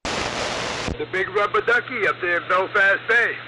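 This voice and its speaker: A man's voice: high voice, measured pace, noisy background. A man bantering casually and telling stories as a radio operator on a live stream, folksy and teasing.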